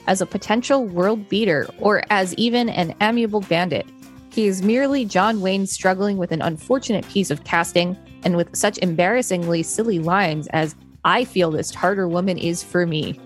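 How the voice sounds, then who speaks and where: A woman co-hosting a podcast, reading an article aloud in a steady, even way. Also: mid-range voice. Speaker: podcast co-host.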